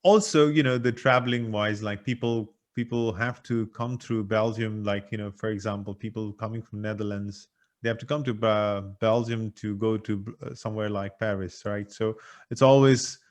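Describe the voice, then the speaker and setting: A man's voice: lowish voice, fairly even intonation, moderate pace. Hesitant male podcast host. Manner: hesitant, many fillers and restarts. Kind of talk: interview questions.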